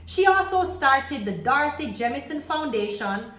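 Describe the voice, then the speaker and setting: A woman with a fairly high voice reads a children's book aloud in a roomy, echoing space. She is expressive and speaks directly to young listeners, mixing the text with asides and questions. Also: normal speed. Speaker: storyteller reading aloud for children. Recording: roomy, echoey home-style video recording.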